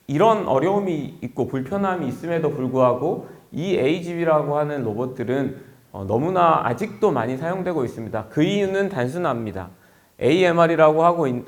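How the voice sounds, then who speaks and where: A man giving a formal conference presentation as a company executive, speaking in a polite, explanatory manner. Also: fairly high pitch.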